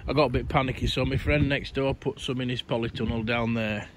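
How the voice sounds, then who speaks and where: Man, middle-aged, hoarse, rambling gardening vlogger, noisy outdoor recording. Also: mid-range voice, fairly even intonation, brisk. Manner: chatty, rambling, tired. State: croaky voice, coughing. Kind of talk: casual garden update to camera.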